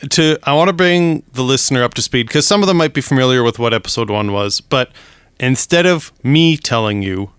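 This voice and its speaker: Smooth-voiced man, meandering podcast chat. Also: medium pitch, a little fast, fairly bright tone, no echo. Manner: meandering, laughs often, many restarts. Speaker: podcast co-host. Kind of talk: casual chat.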